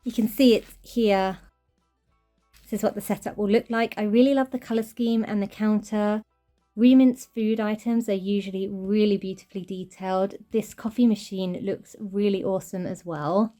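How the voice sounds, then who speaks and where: A woman's mellow, soft-edged voice gushes warmly over the tiny details of a show-and-tell toy collection update. Her voice is still a little off from a recent cold, and faint music plays softly behind her. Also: mid-range voice, steady tone, normal speed. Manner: chatty, enthusiastic, gushing over tiny details. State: slightly off voice while recovering from a cold. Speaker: toy collector channel host.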